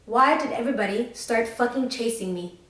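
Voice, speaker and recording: A young woman co-hosting a podcast, telling a story fast and with lots of swearing while doing her makeup on a couch. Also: on the high side, reverberant. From Tennessee. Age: young adult. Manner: fast, profane, animated storytelling. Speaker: podcast co-host. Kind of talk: casual storytime chat. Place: living room, on a green couch.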